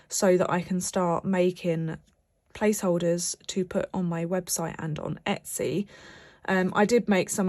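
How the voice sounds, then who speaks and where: A woman's voice: fairly low pitch, a little mellow, no echo. A young British woman, bunged up from a cold, chatting casually about her budget as she stuffs cash envelopes. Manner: chatty, rambling, many fillers and restarts. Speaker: budgeting vlogger. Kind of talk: casual chat to camera while cash stuffing.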